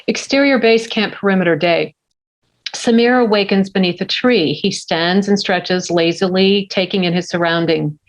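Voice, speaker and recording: A woman's voice: medium pitch, moderate pace. A woman reading scene directions in scripted narration at a table read. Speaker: stage direction reader. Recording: slightly dull lo-fi audio.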